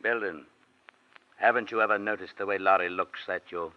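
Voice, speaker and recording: An actor playing a stern, calm village constable in 1940s radio style on an old broadcast. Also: male voice, deep voice, normal speed, dull audio, lightly acted. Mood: grave, explaining.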